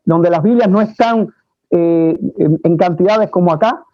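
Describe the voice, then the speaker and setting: A man's voice: high-pitched, a little slow, mellow, no echo, highs cut off. A middle-aged Cuban man with a Cuban Spanish accent, preaching a sermon and telling stories with frequent hesitations. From Cuba. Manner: earnest storytelling with frequent hesitations. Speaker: pastor.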